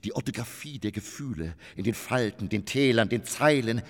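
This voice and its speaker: An actor playing a vain, hard-drinking stage performer in a radio drama, pompous and declamatory, with a husky, gravelly voice. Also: male voice, medium pitch, expressive, normal speed, lightly acted. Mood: solemn, poetic. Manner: declamatory, pompous, swinging from murmur to outburst. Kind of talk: German radio drama acting. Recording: long reverberant hall.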